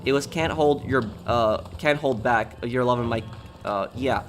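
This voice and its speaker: A man's voice: mid-range voice, measured pace, a little hiss or hum. A man from New York, live-streaming a game and chatting casually with viewers in a rambling, talkative way. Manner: rambling and talkative, with many restarts.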